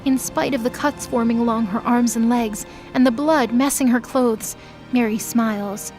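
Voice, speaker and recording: A woman's voice: a little high, steady tone, normal speed, a little mellow, faint music behind. A woman giving dramatic scripted narration as the narrator of an audio drama.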